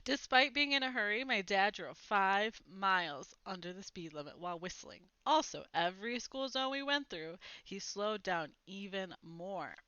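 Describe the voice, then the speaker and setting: A woman reads a children's story aloud in an animated, dramatic way. Her pitch swings widely through each sentence and her tone is bright and forward. The sound is dry, with very little room to it. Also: normal speed, hardly any pauses, smooth, no echo, muffled recording. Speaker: storybook reader. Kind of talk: expressive read-aloud of a children's novel.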